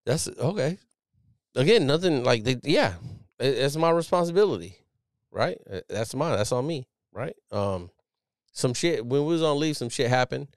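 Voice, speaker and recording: A man in his thirties hosting a podcast, talking casually as a Navy chief, loud and profane with a strong voice. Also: medium pitch, moderate pace, dry recording, studio quality. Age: millennial, 30s. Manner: animated, profane, loud and emphatic. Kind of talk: casual solo podcast commentary.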